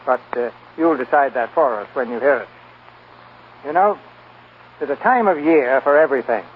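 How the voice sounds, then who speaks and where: A man hosting and narrating a 1940s radio theater show in a measured, formal, scripted way. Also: medium pitch, animated. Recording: tinny, noisy 1940s radio recording.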